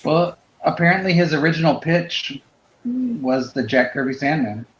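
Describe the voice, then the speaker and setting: A man in casual conversation about comics, explaining things in a tentative, halting way as a panelist. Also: fairly high pitch, big pitch swings, reverberant, low-quality recording. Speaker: panelist on a comics drawing show.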